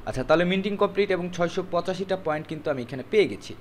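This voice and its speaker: Fast-talking man giving a step-by-step tutorial. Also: high-pitched, slight background noise. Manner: instructive, mixes in English words. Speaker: tutorial presenter. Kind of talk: step-by-step screen tutorial narration.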